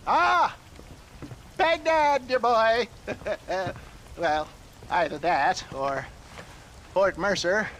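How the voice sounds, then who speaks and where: An actor playing an elderly theatrical male con man in a video game cutscene, with flowery speech, frequent chuckles and drawn-out pauses. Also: sits high, lively intonation, a bit bright, slight background noise, exaggerated voice. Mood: jovial.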